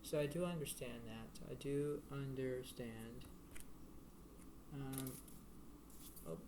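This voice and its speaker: A man giving a casual tarot reading to the viewer in a halting, repetitive, rambling way. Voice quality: low-volume voice. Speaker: tarot card reader. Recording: noisy homemade recording with room ambience.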